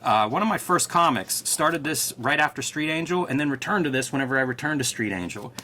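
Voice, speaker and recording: A man's voice: medium pitch, animated, fairly quick, bright, forceful tone, a little hiss or hum. A man co-hosting a casual comics discussion, talking in a conversational, analytical way. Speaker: co-host and comics artist.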